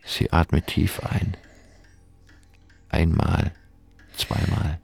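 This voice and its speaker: A male narrator tells a crime story in scripted radio drama style. His voice is very low, with a heavy gravelly creak. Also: flat delivery, extremely slow, faint music behind.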